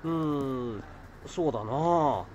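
A man's voice: medium pitch, lively intonation, takes it slowly, a little mellow, lo-fi sound, a little hiss or hum. A man playing an earnest, modest schoolboy who loves history, explaining things eagerly in an amateur radio drama.

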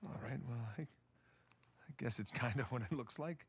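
A man's voice: medium pitch, slight background noise, close to an everyday voice. An actor playing a stubborn, earnest American treasure hunter in his thirties, in cinematic video game dialogue. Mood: sheepish, halting. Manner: halting, pleading when cornered. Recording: music under the dialogue.

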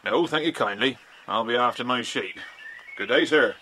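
Man playing bright-voiced, ominous country shepherd. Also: medium pitch, normal speed, slight background noise, natural voice. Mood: earnest warning. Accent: rural English. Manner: earnest, ominous. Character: earnest, superstitious country shepherd, warning strangers. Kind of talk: children's audio drama acting.

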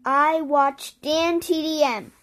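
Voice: high-pitched, takes it slowly, fairly bright tone. A child hosting a casual video, trying out and showing a phone app. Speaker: video host.

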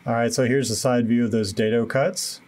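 A man in his thirties giving a relaxed, self-deprecating voice-over walkthrough of a home building project, with many fillers. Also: medium pitch, fairly quick. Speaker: DIY renovation vlogger.

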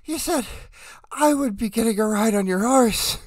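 A man voicing an eager, excitable young kid. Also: very high voice, normal speed, dry recording, clean studio sound. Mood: eager, demanding.

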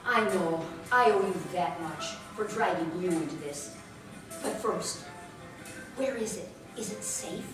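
A woman's voice: on the low side, lively intonation, a little slow, a bit bright. A woman voicing a worried young nightclub singer in an old-time radio play, asking question after question with urgency. She is recorded from far back in an echoey hall with music. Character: anxious young woman singer mixed up with spies. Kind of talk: live 1940s radio play acting.

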